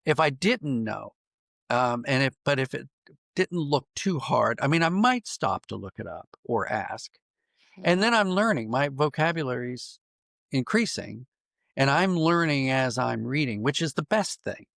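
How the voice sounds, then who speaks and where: A man answering interview questions conversationally as a writer, thoughtful and halting, circling back to rephrase as he goes. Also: mid-range voice, sing-song, gravelly, a bit bright, dry recording, clean studio sound. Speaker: podcast guest, writer and dramatist.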